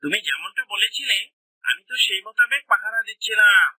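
A sly cartoon fox, acted out by a voice performer, speaking in a piercingly bright, forceful voice. It is anxious and pleading, exclaiming its words emphatically. The sound is dry and close, though a little blurred. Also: medium pitch, expressive, a little fast, husky, no room sound, heavily acted, rough recording. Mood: frightened, pleading. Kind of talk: children's cartoon voice acting.

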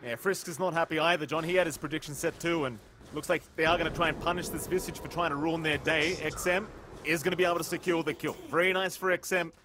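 A man doing excitable play-by-play commentary on a video game match, teasing his co-caster and climbing in pitch at big plays. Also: quick pace, background noise. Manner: excitable, teasing, rises sharply in big moments. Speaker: esports caster.

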